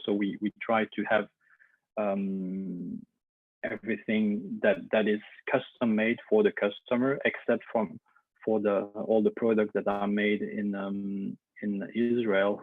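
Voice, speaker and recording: A low-voiced man with a Belgian French accent presents over a video call, hesitant and full of fillers. His delivery stays flat and even, and the audio is thin and phone-like in a dry, close sound. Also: no echo. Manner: hesitant, many fillers and restarts. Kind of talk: webinar presentation.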